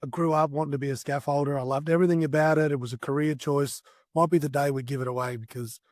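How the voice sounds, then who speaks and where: A man's voice: on the high side, fairly even intonation, fast, a little mellow, no room sound, clean studio sound. A man co-hosting a podcast in casual chat, with a teasing, bantering way of talking. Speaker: podcast co-host.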